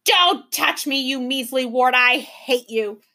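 A woman voicing a mob of spiteful swamp creatures that taunt and bawl loudly, reading a storybook aloud. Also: very high voice, expressive, slow, pitched above the performer's own voice, exaggerated voice. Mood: nasty. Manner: taunting, bawling, whiny.

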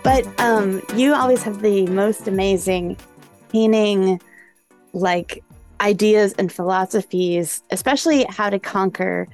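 A woman hosting a podcast in an informal, animated way, full of small asides and casual conversational phrasing. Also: medium pitch, measured pace, faint music behind. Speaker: podcast host.

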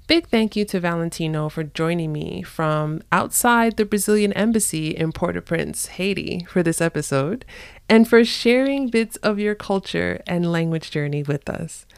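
A woman's voice: lowish voice, animated, normal speed, gravelly, smooth, no echo. A woman hosting a conversational podcast, interviewing a guest about languages.

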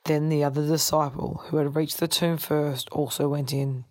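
A woman's voice: low voice, flat delivery, moderate pace, a little mellow, no room sound. A woman reading a Gospel passage aloud in a formal scripture-reading style. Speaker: scripture reader.

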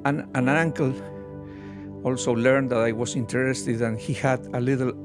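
An older man from Argentina, recounting his childhood as a guest in an interview with soft laughs. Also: medium pitch, fairly even intonation, over music. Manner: unhurried, warm recollection with soft laughs. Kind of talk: interview storytelling.

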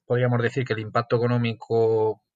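Spanish man, flat steady delivery. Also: mid-range voice, fast, no echo. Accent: Spanish (Castilian). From Castilla-La Mancha, Spain. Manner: thoughtful, many fillers and restarts. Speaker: guest, sports management lecturer. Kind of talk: conversational interview answers. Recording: dull lo-fi remote audio.

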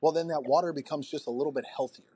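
A man in his forties, a fitness coach hosting a podcast, talking in an energetic, profane, rambling way. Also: a little high, fast, breathy edge, no room sound. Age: 40s. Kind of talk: casual podcast conversation.

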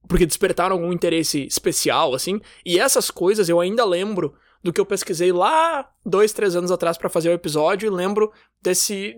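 A man's voice: sits high, no echo, clean studio sound. A man, co-hosting a podcast in casual chat, reasoning aloud with "sabe?" tags. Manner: talks in long reasoning runs with many "cara" and "sabe". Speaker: podcast co-host.

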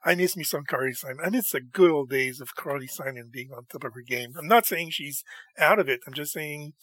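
Male music show host, enthusiastic and chatty, a touch hoarse, forceful tone, fairly high voice. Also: no echo. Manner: enthusiastic and chatty, with frequent laughs. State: slightly hoarse from losing his voice. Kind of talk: casual solo monologue introducing songs.

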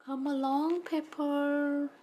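Storybook mum, female reader: caring, patient, soothing, very slow delivery. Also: sits high, level tone, dark tone. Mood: coaxing. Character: gentle, caring mother of a young puppy. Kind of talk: children's storybook read-aloud.

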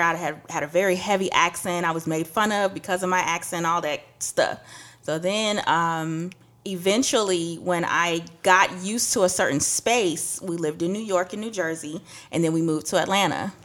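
A young woman from Trinidad telling a personal story candidly on a podcast, getting animated when she quotes people. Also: fairly low pitch. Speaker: podcast co-host. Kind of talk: casual podcast chat.

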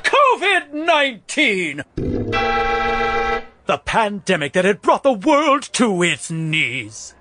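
An actor playing a melodramatic, excitable old-time serial announcer in a parody radio drama. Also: male voice, high voice, takes it slowly, over music, full character voice.

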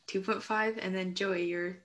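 A woman's voice: medium pitch, steady tone, measured pace, fairly bright tone, poor audio. A young woman speaking casually as part of a student group discussion.

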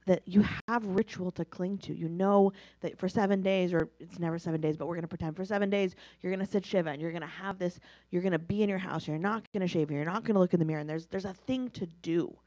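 A woman's voice: fairly low pitch, fast talker, hardly any pauses, mellow, dry recording. A woman with an American accent speaking reflectively and emphatically in a religious study discussion, in a conversational, personal way. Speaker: participant in a Talmud class discussion.

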